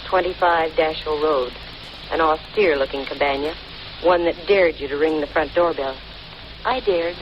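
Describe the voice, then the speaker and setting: A woman's voice: low-pitched, natural voice. An actress playing a tough, wisecracking woman private detective in a 1940s radio drama, with a wry, slangy delivery. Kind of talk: 1940s radio detective drama acting, hard-boiled narration. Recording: muffled, noisy old radio broadcast recording.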